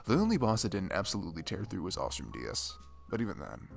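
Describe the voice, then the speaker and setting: A man's voice: sits low, huge pitch swings, fast, vocal fry, faint music behind, exaggerated voice. A man playing a shy, dorky best friend in an ASMR roleplay, chatting casually in a rambling, stammering, nerdy way. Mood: nerdy, excited. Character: dorky shy young male best friend, playful gamer.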